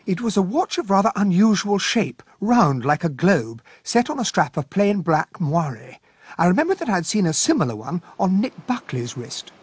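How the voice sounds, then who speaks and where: Radio drama: polite English companion-narrator, slightly high male pitch, husky, dry close sound. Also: very animated, moderate pace, dry recording, heavily acted. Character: genteel, loyal English gentleman, detective's companion and narrator.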